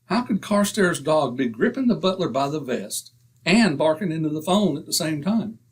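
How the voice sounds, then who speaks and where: Man playing blustery, folksy husband, slightly husky. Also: fairly high pitch, big pitch swings, normal speed. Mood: grumbling. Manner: folksy, slangy, grumbling. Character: blustery, boastful middle-aged husband telling tall tales. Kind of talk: old-time radio comedy acting.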